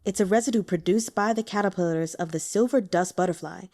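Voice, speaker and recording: A woman voicing a shrewd, dry, sickly male investigator in an audiobook, explaining things in a measured, matter-of-fact way. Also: on the low side, darkish tone. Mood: cautioning, matter-of-fact. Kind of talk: audiobook character dialogue.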